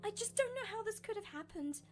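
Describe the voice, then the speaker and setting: A woman playing a gentle, grieving maid pony in a cartoon, dutiful and guilt-ridden, speaking in a sorrowful, halting way. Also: very high-pitched, lively intonation. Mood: grieving, guilty. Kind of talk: animated cartoon acting. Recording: faint music behind the voice.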